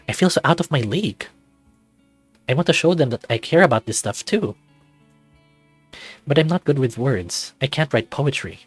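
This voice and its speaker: A man's voice: mid-range voice, slightly rough, dry recording. A man voicing an anxious, self-doubting man in his thirties, narrating a visual novel introspectively in the first person. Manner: introspective, confessional, dramatic reading.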